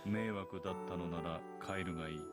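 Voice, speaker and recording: A man acts out a stoic game character with a deep voice. His tone stays flat and unmoved, and his words are spare. Loud music plays over him. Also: rapid-fire, natural voice. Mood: impassive. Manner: flat, unchanging tone. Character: stoic, impassive grown man of few words. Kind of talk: Japanese visual novel voice acting.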